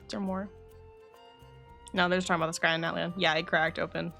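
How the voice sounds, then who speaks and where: A woman's voice: fairly low pitch, flat delivery, fast talker, vocal fry, bright, forceful tone, soft background music. A woman streaming a game, chatting casually in a rambling way and swearing now and then. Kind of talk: casual livestream chat.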